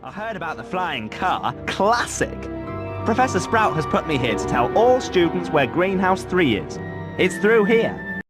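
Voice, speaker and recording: Teenage schoolboy, high swooping voice, lively, video game, music underneath. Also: male voice, measured pace. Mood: amused, helpful. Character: teenage schoolboy, lively guide to other pupils. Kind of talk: scripted video game dialogue.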